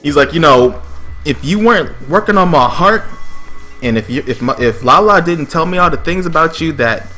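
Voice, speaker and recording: A man's voice: a little high, animated, moderate pace, bright, forceful tone, faint music behind. A man telling a personal story on a stream in a casual, slangy, profane way, acting out the quotes.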